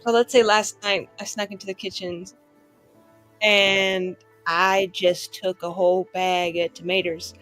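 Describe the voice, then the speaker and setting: A woman's voice: medium pitch, flat delivery, measured pace, fairly bright tone, soft background music. A woman playing a tabletop roleplaying game, improvising her moves in a casual, rambling way full of "like". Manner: rambling, peppered with "like".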